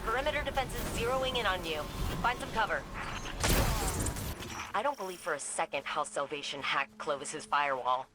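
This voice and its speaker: A woman playing a wry, sharp-witted scientist who guides a mission in a video game, speaking in a dry, informal, alert way. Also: fairly low pitch, moderate pace, heavy background noise, close to an everyday voice.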